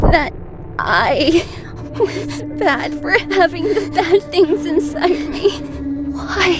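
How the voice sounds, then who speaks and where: Horror game role: haunted young girl, high voice, very slow halting breathy delivery, tearful and scared, over music. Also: full character voice. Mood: anguished. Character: frightened, tormented young girl haunting a convent. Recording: music behind the voice.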